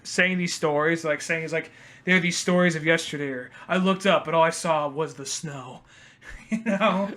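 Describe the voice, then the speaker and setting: A man's voice: a little high, moderate pace, a bit bright. A young adult man co-hosting a podcast, reading comic lines out dramatically and adding loose, slangy commentary. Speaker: podcast co-host. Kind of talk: casual comic read-along with commentary.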